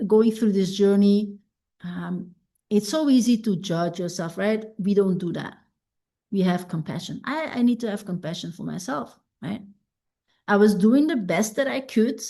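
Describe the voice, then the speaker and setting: A woman coach whose first language is Dutch, teaching a self-help masterclass in an unhurried, reflective way and often checking in with "right?". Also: mid-range voice, creaky, mellow.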